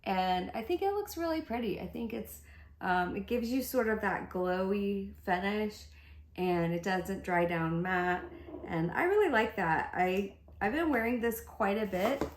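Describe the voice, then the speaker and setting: A woman's voice: medium pitch, lively intonation, measured pace, slight background noise. A middle-aged woman with a congested voice, chatting casually through a makeup tutorial. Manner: chatty, upbeat, thinking aloud while applying makeup. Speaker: beauty vlogger. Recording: echoey room.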